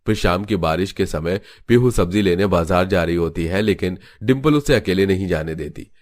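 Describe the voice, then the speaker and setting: A man's voice: deep voice, normal speed, darkish tone. A man narrating a scripted cartoon family story.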